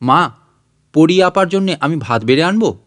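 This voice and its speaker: A man's voice: a little high, lively intonation, moderate pace, a bit bright, no room sound, studio quality. A man voicing an innocent little boy in an audiobook story, with a childlike, inquisitive manner. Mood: innocent, curious. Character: innocent curious little boy questioning his parents. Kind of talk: audiobook character acting.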